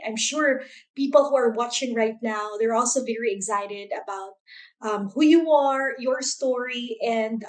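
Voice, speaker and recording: A woman hosting an online interview, introducing her guest with many fillers and restarts. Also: a little high. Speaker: interview host.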